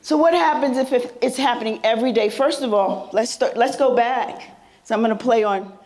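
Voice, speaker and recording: A woman's voice: on the high side, a little fast, darkish tone, low-quality recording. Soothing woman speaker quizzing her audience. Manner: conversational, quizzes the audience with questions. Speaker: presenter at a mental health symposium. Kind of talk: interactive workshop presentation. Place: conference room before a live audience.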